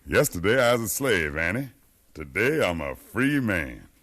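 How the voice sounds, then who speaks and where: An actor in a 1950s radio drama playing a determined, educated freed slave who leads rebels with fervent, persuasive speech. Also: male voice, low voice, big pitch swings, moderate pace, fairly bright tone, full character voice. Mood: bold, persuasive. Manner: fervent, persuasive, preaching cadence.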